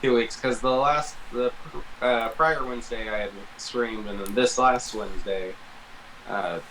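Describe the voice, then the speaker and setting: A man with a mid-pitched voice talks casually as a podcast co-host. His delivery is loose and laid-back, like easy chat between friends. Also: fairly even intonation, measured pace, a bit bright, slight background noise, poor audio.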